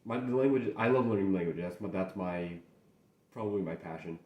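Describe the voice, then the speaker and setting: A man's voice: sits low, narrow, tinny audio. Rambling young man in an echoey room. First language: English. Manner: rambling, halting, with frequent restarts. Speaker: host. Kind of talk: casual solo chat.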